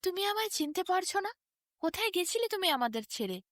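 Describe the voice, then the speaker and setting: A woman's voice: very high voice, sing-song, a little fast, a bit bright, no echo, clean studio sound, voice pushed up, full character voice. A woman voicing a devout, emotional young mother pleading with her long-lost husband in a fairy tale. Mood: pleading, hurt.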